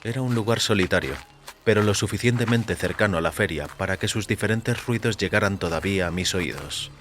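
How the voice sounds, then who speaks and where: A man reading scripted first-person fiction as a narrator, in a measured, literary, sombre manner. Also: on the low side, fairly bright tone, slight background noise. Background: faint music.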